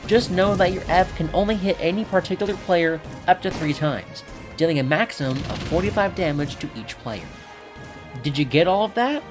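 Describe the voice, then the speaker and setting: A man putting on a lively, sing-song voiceover persona to explain a game class as a tutorial narrator. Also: high-pitched, moderate pace, pitched above the performer's own voice. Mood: instructive, teasing. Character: hyped-up male game-guide narrator persona. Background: clear background music.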